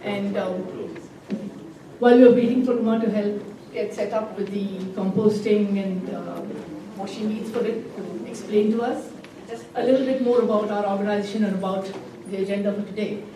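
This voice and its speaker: A woman's voice: medium pitch, fairly even intonation, moderate pace, mellow. A woman hosting a community event for an environmental group, introducing speakers with many fillers in a library room. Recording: very echoey room, far from the mic, noisy.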